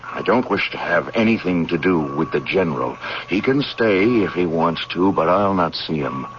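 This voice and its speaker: An actor playing a bitter, haunted army major in an old-time radio drama, grim and stubborn. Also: male voice, lively intonation, moderate pace. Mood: resentful, insistent. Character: bitter, wounded army major, haunted former war prisoner. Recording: muffled, noisy old radio broadcast, vehicle rumble.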